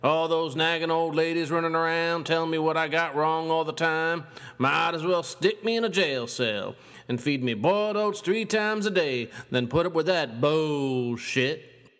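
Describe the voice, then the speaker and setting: An actor playing a brash, boastful cowboy uncle and zombie hunter in an audio horror story, with a folksy, coarse American Southern drawl. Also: male voice, fairly high pitch, unhurried, a bit bright. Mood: dismissive, gruff. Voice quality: drawling country speech. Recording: long reverb, music underneath. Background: guitar music.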